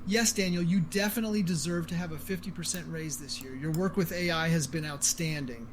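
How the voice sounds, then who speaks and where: Higher-pitched synthetic male voice. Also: brisk, crowd noise. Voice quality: synthetic cloned voice. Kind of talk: AI-cloned synthetic speech, short statements.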